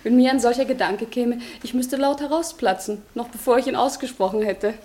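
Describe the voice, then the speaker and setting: A woman's voice: fairly high pitch, fairly quick, warm tone, lightly acted. An actress playing an effusive, polite society lady in a German radio play, full of admiration. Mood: gushing, admiring. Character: gushing, admiring society hostess.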